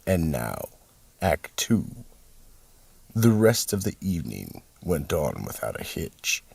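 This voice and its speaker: A sinister horror-radio host with an extremely deep, husky voice. He narrates slowly and theatrically, with gravel in every phrase and ominous swoops in pitch. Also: very animated, a little mellow, no echo, exaggerated voice. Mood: grave, deliberate. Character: sinister male keeper of a horror vault, narrating.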